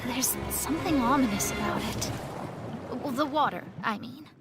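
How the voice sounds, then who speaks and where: An actress as a local woman giving friendly, wary warnings in a game trailer. Her fairly high voice sits a little distant under loud music. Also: moderate pace, lightly acted.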